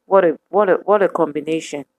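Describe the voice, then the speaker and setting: A woman preaching a sermon to women, speaking in measured, emphatic repetitions. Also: fairly low pitch, a little mellow, a little hiss or hum.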